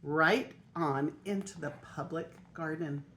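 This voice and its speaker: Older woman, storybook read-aloud, lowish pitch, expressive delivery, grandmotherly narrator. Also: takes it slowly, a little hiss or hum. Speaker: grandmother reading a children's picture book aloud.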